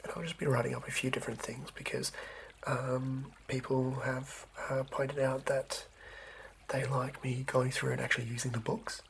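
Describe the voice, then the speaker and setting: Whispering man with a gravelly edge. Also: mid-range voice, level tone, normal speed, slight background noise, low-quality recording. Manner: soft unvoiced whispering, rambling with many fillers; writes by hand as he talks. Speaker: host of a whispered tabletop character-building channel. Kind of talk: whispered ASMR chat.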